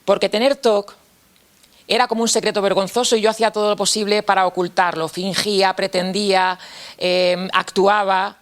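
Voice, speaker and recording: A man's voice: high-pitched, flat delivery, moderate pace, fairly bright tone. Candid Spanish man giving a reflective talk. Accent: Spanish from Spain. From Spain, Castilla-La Mancha. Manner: reflective and candid, repeats and restarts phrases, ends points with a questioning tag. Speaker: speaker at a mental health talk. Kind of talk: personal testimony talk.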